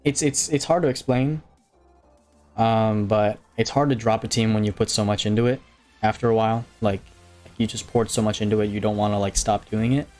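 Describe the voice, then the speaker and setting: A man's voice: lowish voice, darkish tone. Laid-back Hawaiian man chatting on stream. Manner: rambling, many fillers and restarts, reading chat aloud. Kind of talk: casual live stream chat.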